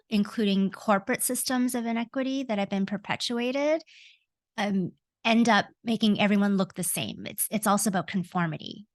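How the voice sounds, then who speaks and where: A woman's voice: mid-range voice, dry recording. Earnest Canadian woman, dry close sound. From Hong Kong, raised in Canada. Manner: earnest, reflective, with frequent ums and self-corrections. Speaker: guest, coach. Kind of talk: podcast interview.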